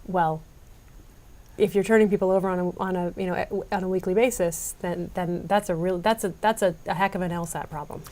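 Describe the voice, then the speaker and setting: A woman hosts a professional interview, asking questions in a conversational, engaged manner. Her voice sits at an ordinary middle pitch, and she often restates what her guest has said. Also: fairly even intonation, a little mellow. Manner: conversational, with quick laughs and affirmations.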